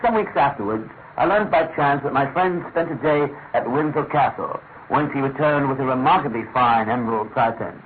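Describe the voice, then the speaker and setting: Actor as genteel British narrator, tinny old radio. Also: male voice, mid-range voice, natural voice. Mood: reflective. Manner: measured, formal, storytelling. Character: genteel English gentleman narrator, detective's loyal friend. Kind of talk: scripted first-person radio drama narration. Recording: tinny, narrow-band old radio, faint hiss.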